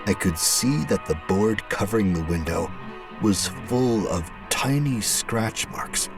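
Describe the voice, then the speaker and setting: A man delivering scripted first-person horror narration in a dramatic, suspenseful way, with deliberate phrasing. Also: on the low side, animated. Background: music.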